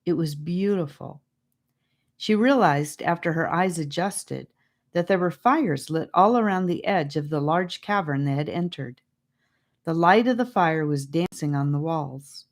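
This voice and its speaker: A woman reads a children's story as an audiobook narrator. Her voice is on the low side, and she tells it expressively with very animated pitch. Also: a little slow.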